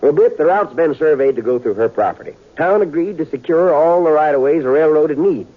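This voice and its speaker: A folksy, persuasive town mayor. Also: male voice, a little high, lively intonation, brisk. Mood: gossipy. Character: folksy small-town mayor and doctor, man. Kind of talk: 1950s radio drama acting. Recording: tinny old radio recording.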